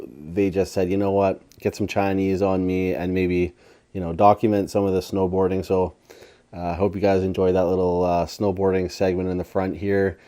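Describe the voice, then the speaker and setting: Deep, mellow-voiced man chatting casually. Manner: laid-back, slangy, playful wordplay. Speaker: food and lifestyle vlogger. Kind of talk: casual eating vlog chat to viewers.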